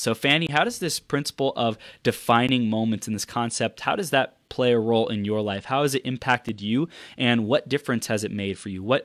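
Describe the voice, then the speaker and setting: A man from the United States hosting a podcast interview, enthusiastic and quick with short affirmations. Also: mid-range voice, fairly bright tone, no echo. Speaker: podcast host.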